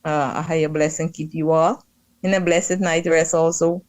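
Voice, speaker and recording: A woman from Guyana with a Guyanese accent, calling in to a broadcast and speaking haltingly, with formal blessings. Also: low voice, flat delivery, darkish tone, no echo. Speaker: caller.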